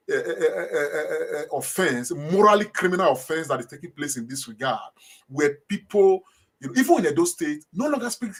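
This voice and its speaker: A man's voice: high-pitched, a bit bright. A middle-aged man with a Nigerian accent, answering interview questions in a hesitant way, repeating words and saying uh often. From Edo State, Nigeria. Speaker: guest.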